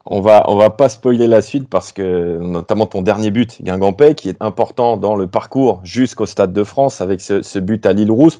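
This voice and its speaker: A man's voice: lowish voice, warm tone, no room sound. A man hosting a podcast interview, asking questions conversationally. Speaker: host.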